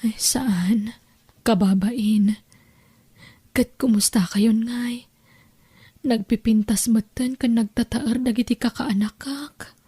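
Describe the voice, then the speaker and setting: A woman's voice: a little high, steady tone, takes it slowly, pauses often, warm tone, slightly rough, heavily acted. A woman with an Ilocano accent playing a trusting, hardworking older sister who is heartbroken and deceived, in an emotive radio drama. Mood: weak, sad. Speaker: actress in an audio drama.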